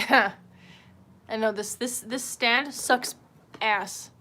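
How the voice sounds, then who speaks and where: A woman's voice: on the high side, drawn-out words, sharp tone. A woman chatting casually on a livestream, rambling in a candid, sweary and self-deprecating way. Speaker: livestream host.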